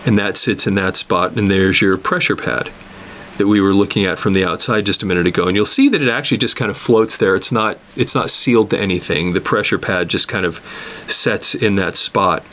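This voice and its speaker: Low-voiced man giving a hands-on tutorial. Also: fairly bright tone, slight background noise. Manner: halting, repeats words as he works. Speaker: instructor demonstrating cassette tape repair. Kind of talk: hands-on tutorial, unscripted explanation.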